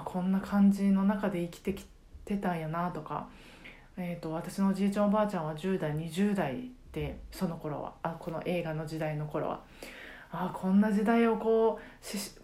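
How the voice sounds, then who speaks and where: A middle-aged woman chatting casually on a solo podcast, reflective and halting as she searches for words. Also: mid-range voice, dark tone. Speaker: podcast host and karaoke teacher.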